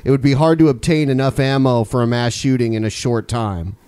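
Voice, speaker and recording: Male podcast host, mid pitch, very dry room sound, offhand conversational delivery. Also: steady tone, a little fast, darkish tone, smooth, no echo. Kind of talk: casual chat, reading viewer comments.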